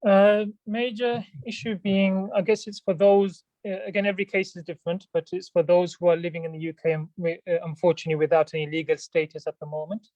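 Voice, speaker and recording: Polite measured male solicitor, flat delivery. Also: high voice, no echo, dull audio. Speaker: immigration solicitor. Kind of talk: guest expert on radio.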